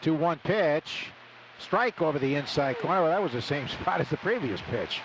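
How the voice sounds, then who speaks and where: A man's voice: animated, normal speed, slight background noise, rough recording. An older American man doing conversational live radio baseball play-by-play from a stadium booth. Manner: conversational, storytelling between pitches. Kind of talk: live radio sports commentary.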